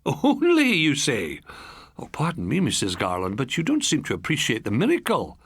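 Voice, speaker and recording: A man's voice: on the high side, huge pitch swings, moderate pace, gravelly, fairly bright tone, breathy edge, no echo, pitched above the performer's own voice, heavily acted. An actor voicing an eager, showy male salesman with an effusive, emphatic, patronising manner in an audiobook story.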